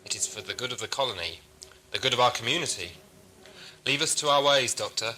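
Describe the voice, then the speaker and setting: Man playing forceful, stern prison ship commander. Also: mid-range voice, lively intonation, a little fast, a little hiss or hum. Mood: stern, righteous. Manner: stern, clipped, formal. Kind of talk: science fiction audio drama acting.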